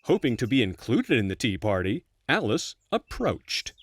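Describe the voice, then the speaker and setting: Very expressive storybook narrator. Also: medium pitch, normal speed, breathy edge, dry recording. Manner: expressive, storybook-like, playful asides.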